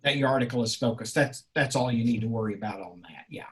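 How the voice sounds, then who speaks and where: A male college instructor talking informally with a class in a halting, rambling, encouraging way. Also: medium pitch, breathy edge. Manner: encouraging, reassuring. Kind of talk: informal class question-and-answer.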